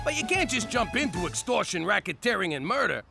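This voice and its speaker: An actor playing an exasperated, wisecracking middle-aged mobster dad with a New York accent in an adult cartoon. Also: male voice, high-pitched, sing-song, brisk, vocal fry, over music, full character voice. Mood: boastful. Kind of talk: adult cartoon comedy voice acting.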